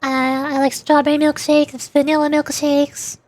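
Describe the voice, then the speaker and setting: A woman's voice: high-pitched, level tone, unhurried, darkish tone. A woman streamer chatting casually and rambling, often giggling as she answers her chat.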